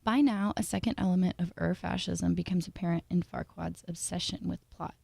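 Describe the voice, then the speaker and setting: Dry-sounding woman narrator with creaky voice. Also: lowish voice, steady tone, a little fast, darkish tone, no echo. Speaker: video essay narrator. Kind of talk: scripted analytical narration.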